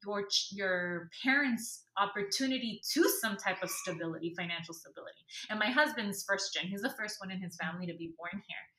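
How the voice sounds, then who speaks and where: Woman from LA, guest on a podcast, earnest and explaining, bright voice, echoey room sound. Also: mid-range voice, a little fast, few pauses, a little hiss or hum. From Los Angeles area. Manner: earnest, explaining, uses "like" and "you know" a lot. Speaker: podcast guest, finance educator. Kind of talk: casual interview chat.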